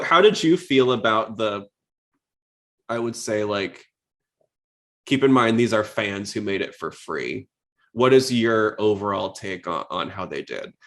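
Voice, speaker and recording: A man's voice: lowish voice, a bit bright. A man hosting a podcast, talking informally and explaining things in a casual style. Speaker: podcast host.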